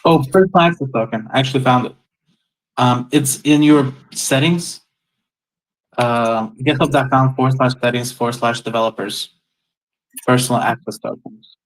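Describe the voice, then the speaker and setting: Man, casual chat, hesitant, lots of ums, mid pitch. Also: fairly even intonation, moderate pace, pauses often, poor audio. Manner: hesitant, thinking aloud with many ums.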